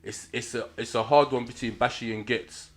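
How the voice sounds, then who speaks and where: A man's voice: medium pitch, steady tone, normal speed. A young man from Nigeria who grew up in London, talking casually as a rapper guest, laid back and full of slang. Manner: laid-back slangy talk, laughs often. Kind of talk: casual chat.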